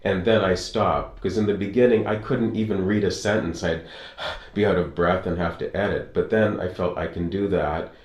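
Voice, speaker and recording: A man hosting an interview, telling his own story in a measured, reflective way. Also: deep voice, steady tone, echoey room. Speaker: interview host. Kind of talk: casual interview talk.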